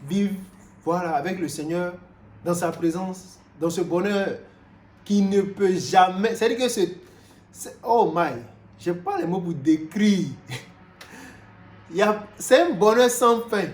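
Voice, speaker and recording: Animated preacher in an echoey room. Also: male voice, takes it slowly, long pauses. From Cameroon. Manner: animated, swings from loud exclamations to low, quiet asides, with frequent repetitions. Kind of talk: sermon. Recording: roomy echo with faint background noise.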